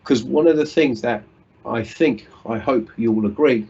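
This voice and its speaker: A man's voice: medium pitch, moderate pace, mellow, husky, dull audio. A British man giving an earnest, reflective conference talk over a video call, often restarting his sentences. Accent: British English. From United Kingdom. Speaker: conference speaker.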